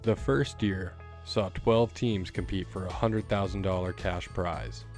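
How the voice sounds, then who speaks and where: Male documentary narrator, low register, scripted read over a clear music bed. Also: steady tone, unhurried, darkish tone.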